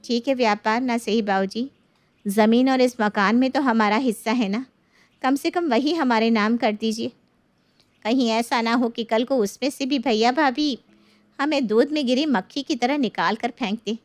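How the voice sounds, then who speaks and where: A woman's voice: fairly high pitch, normal speed, dry recording, pitched above the performer's own voice, natural voice. A woman playing a worried, practical young daughter-in-law in a moral story, anxious yet respectful.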